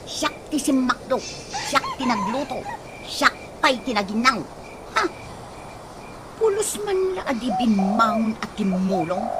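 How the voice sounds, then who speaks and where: Naggy ilocano wife in radio comedy, really animated, scolds and exclaims, noisy recording. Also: female voice, medium pitch. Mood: complaining. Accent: Ilocano Filipino. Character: loud nagging wife scolding her sleepy husband.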